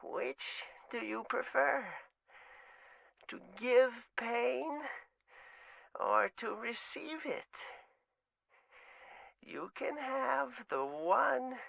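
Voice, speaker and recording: Very slow, eerie taunting stranger. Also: male voice, extremely high pitch, expressive, gravelly, no room sound, exaggerated voice. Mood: taunting, menacing. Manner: slow, singsong, menacing. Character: eerie, taunting stranger making a sinister birthday call. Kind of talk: horror game voice acting. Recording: dull, lo-fi game audio.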